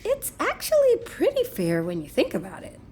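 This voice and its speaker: Audio drama: flippant, sardonic woman, lively intonation, higher register, naturalistic delivery. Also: normal speed. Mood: flippant, teasing. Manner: flippant, teasing, slangy. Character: breezy, sardonic woman amused by her panicking male partner.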